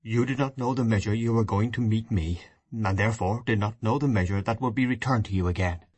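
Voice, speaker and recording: An earnest, idealistic young man speaks in a low, husky voice, as a man puts him on. He sounds steady and grave. The recording is close and dry. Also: a little fast, no echo, close to an everyday voice. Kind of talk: audiobook dialogue reading.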